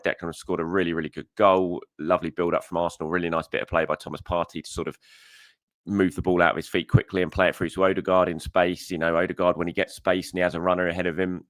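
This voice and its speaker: A fast-talking man with a low, croaky voice gives frank solo commentary on a football result. He speaks in a chatty, conversational way and doubles up words for emphasis. The sound is close and dry. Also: a bit bright, dry recording. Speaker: football show host.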